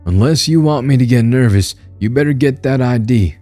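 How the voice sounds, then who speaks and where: A man's voice: on the low side, lively intonation, normal speed, dark tone, dry recording, soft background music, voice pushed up, natural voice. A man voicing an aggressive, suspicious male police officer who barks threats. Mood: threatening. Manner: barking, threatening.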